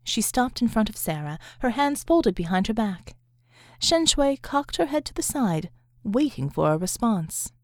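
A woman reading dramatic fiction as an audiobook narrator, in a measured, deliberate way. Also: on the high side, very animated, no room sound, studio quality. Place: close, dry recording space.

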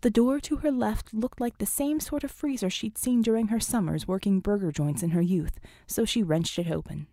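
A woman's voice: medium pitch, moderate pace, hardly any pauses, warm tone, no echo, studio quality. A woman reading scripted fiction as an audiobook narrator.

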